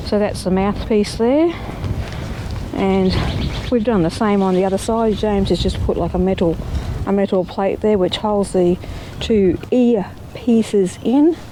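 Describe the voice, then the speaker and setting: A woman's voice: mid-range voice, mellow, slight background noise, low-quality recording. A woman presenting a vlog in a casual, explanatory way, talking plainly and step by step. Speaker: presenter of a recumbent trike vlog. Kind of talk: casual explanatory demonstration and chat. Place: outdoors.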